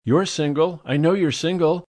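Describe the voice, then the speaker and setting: A man's voice: fairly high pitch, moderate pace, darkish tone, voice pushed up, lightly acted. A man voicing a pushy, gushing society woman who does volunteer work, talking in a breathless, fawning, emphatic way.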